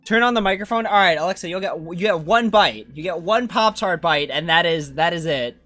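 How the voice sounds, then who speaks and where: A man's voice: sits high, brisk, fairly bright tone, dry recording, soft background music. A man chatting casually as a game streamer on a livestream.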